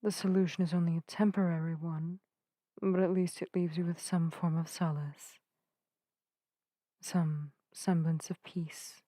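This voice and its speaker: Soft, mellow, low-voiced gentle witch played by a woman. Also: flat delivery, a little slow, dry recording. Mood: apologetic, soothing. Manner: soft-spoken, soothing, old-fashioned formal phrasing. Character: gentle, earnest young witch healer, reassuring and formal. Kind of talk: narrative ASMR roleplay addressed to the listener. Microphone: close microphone in a dry space.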